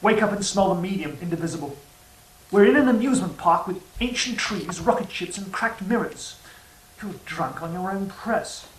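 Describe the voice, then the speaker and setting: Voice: medium pitch, lively intonation, normal speed, a little hiss or hum, lightly acted. An actor playing the Serpent of Eden in an audio drama, sly, sardonic and insistent, with a smug, needling manner. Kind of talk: stage-style audio drama acting. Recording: roomy, distant from the mic.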